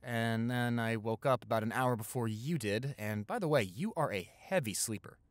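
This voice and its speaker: A man's voice: medium pitch, normal speed, no room sound, lightly acted. Guy acting as a young american boyfriend, teasing n caring, stammers a bit, close dry mic, roleplay audio. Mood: sheepish, teasing. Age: young adult. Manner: teasing, reassuring, hesitant stammers. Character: playful, caring new boyfriend, college student.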